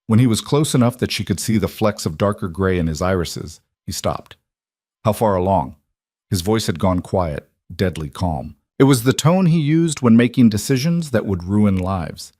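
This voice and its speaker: A man's voice: lowish voice, expressive, normal speed, darkish tone, dry recording. A man reading scripted dramatic fiction as an audiobook narrator, close on the microphone.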